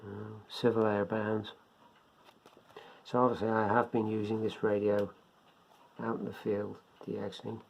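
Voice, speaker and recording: An older man giving a casual, unscripted product demonstration, talking haltingly as he thinks aloud. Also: fairly low pitch, little pitch movement, vocal fry. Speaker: presenter of a beginners' radio hobby video. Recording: dull lo-fi sound, faint background noise.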